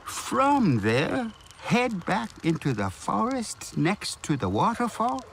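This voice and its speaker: An exacting old alien village mentor from a video game. The voice is gravelly and fairly high for a man, swinging widely in melody as it slowly gives careful instruction. Faint ambient noise sits behind it. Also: huge pitch swings, heavily acted. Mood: helpful. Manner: deliberate, instructive, storyteller-like. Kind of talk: video game character acting.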